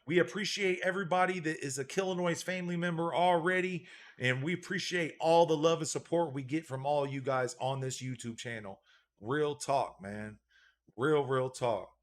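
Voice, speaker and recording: A man's voice: on the high side, normal speed. A man hosting a casual livestream as a dog breeder, laid-back, reading out and thanking viewer donations, often repeating a catchphrase.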